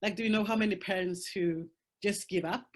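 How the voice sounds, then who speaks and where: A woman's voice: mid-range voice, fairly even intonation, fairly quick. A woman whose first language is Swedish, speaking English with a Swedish accent, talking frankly and emphatically as a panel guest over a video call. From Sweden. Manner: frank and emphatic, swears, laughs through hard topics. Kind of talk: candid conversational talk.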